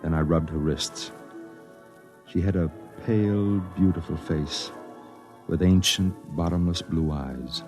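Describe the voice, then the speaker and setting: A low-pitched American man as a brooding, lonely reporter in his thirties, confessing his story in a vintage radio drama. His delivery is measured and sensitive, with music playing clearly behind him. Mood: intense, haunted. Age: 30s. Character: lonely, sensitive thirty-one-year-old newspaper reporter and secret poet. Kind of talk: 1950s radio drama narration.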